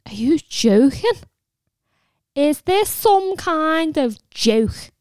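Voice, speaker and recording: A woman's voice: steady tone, unhurried, darkish tone, no room sound, clean studio sound, natural voice. A woman voicing a frightened, sarcastic young woman who protests in a raised voice. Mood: panicked. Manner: shrill, protesting.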